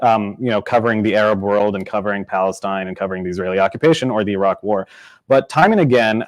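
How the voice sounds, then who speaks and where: A man's voice: lowish voice, dry recording, low-quality recording. A male journalist with a mid-range voice, speaking on a discussion panel. He makes his case earnestly, building one point on the next. His voice is recorded dry and close, with no room sound.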